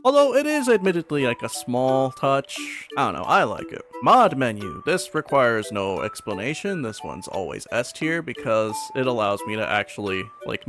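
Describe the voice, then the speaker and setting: A man hosting a gaming video, giving casual, informal commentary in an animated, conversational way. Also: mid-range voice. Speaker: host of a gaming video channel.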